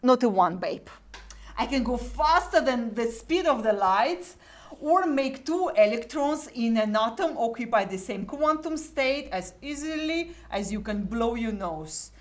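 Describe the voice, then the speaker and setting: Demon soul broker, played by a woman: slow, swooping delivery, slick and mocking, theatrical show-business patter. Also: on the high side, animated. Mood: boastful, teasing. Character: smooth-talking, wisecracking demon sub-agent dealing in damned souls. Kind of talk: dramatic script reading.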